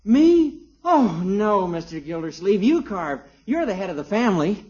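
A polite young new father in an old radio comedy. He is hesitant and deferential, his pitch jumping about in a flustered way. The recording is dull and lo-fi. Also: very animated, moderate pace, darkish tone. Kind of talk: 1950s radio sitcom acting.

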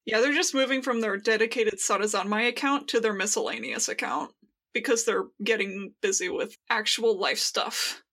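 A woman co-hosting a podcast in casual fan chat, talking in an excitable, playfully sweary way. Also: a little high, moderate pace. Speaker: podcast co-host.

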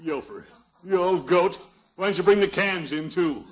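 A man's voice: high voice, normal speed, lightly acted. A man playing a pompous, blustery middle-aged American small-towner in a radio comedy. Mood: flustered, exasperated. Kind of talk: 1950s radio comedy acting. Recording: muffled vintage 1950s radio recording.

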